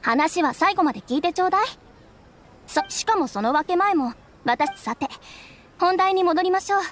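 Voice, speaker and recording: A woman playing a shrewd, confident young female detective in a video game, brisk and teasing. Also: very high-pitched, animated, fairly bright tone, slight background noise. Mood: businesslike, teasing. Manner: brisk, self-assured. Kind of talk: video game dialogue acting.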